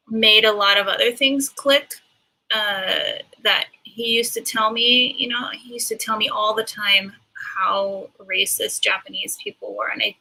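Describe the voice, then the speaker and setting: Very bright-voiced woman, halting and thoughtful. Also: fairly high pitch, rough recording. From Hawaii. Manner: halting, thoughtful, searching for words. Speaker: panelist. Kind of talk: personal reflection in a panel discussion.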